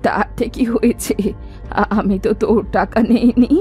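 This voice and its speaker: A woman's voice: a little high, fairly even intonation, unhurried, mellow, lightly acted. Stubborn old village woman played by an actress, music behind. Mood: defiant. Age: older. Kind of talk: Bengali cartoon moral-story dialogue.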